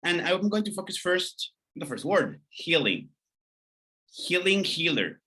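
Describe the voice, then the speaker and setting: A man hosts an interview and opens each question with eager praise. His voice is bright and forward, and his questions ramble before he reaches the point. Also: fairly high pitch, sing-song, measured pace, breathy edge, poor audio. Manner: enthusiastic, asks long winding questions. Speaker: interview host. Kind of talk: hosting an interview series.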